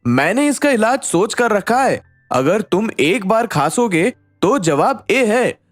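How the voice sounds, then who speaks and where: A woman voicing a cheeky, scheming schoolboy who explains glibly, in an animated story. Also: medium pitch, slow pace, no room sound, soft background music. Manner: glib, explaining.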